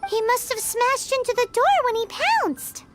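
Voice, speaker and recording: A woman voicing a small, blunt, cheeky childlike fairy companion in a squeaky voice for a video game. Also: lively intonation, moderate pace. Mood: curious, matter-of-fact. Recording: music laid under the voice. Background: tinkling playful game music.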